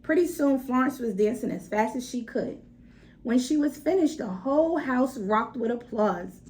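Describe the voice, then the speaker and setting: A woman's voice: a little high, animated, moderate pace, darkish tone, rough recording. A woman reading a children's story aloud expressively, with dramatic emphasis and direct asides to her listeners.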